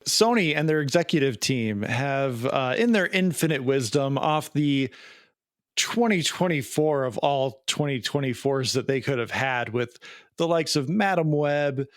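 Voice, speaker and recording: A man's voice: mid-range voice, lively intonation, unhurried, no echo. Wry man, dry close sound. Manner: sarcastic, wry. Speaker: podcast co-host. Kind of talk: casual pop culture chat.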